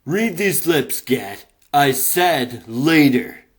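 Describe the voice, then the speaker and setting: Man as a cocky, excitable young mouse. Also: on the high side, drawn-out words, full character voice. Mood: impatient. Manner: slangy, defiant, excitable. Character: cocky young male mouse athlete under pressure. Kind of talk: comic dub cartoon acting.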